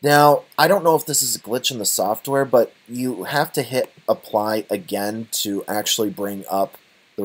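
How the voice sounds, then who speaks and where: Guy w a lowish voice walking thru software, kinda tentative, thinks out loud, low-key. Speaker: tutorial presenter. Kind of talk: unscripted software tutorial, thinking aloud.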